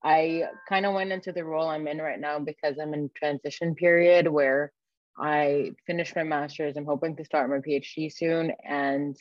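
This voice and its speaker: A woman's voice: low voice, steady tone, moderate pace, no echo. Young woman from egypt, chatty interview answers, reflective, dry sound, bit lo-fi. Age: 20s. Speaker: guest, early-career academic researcher.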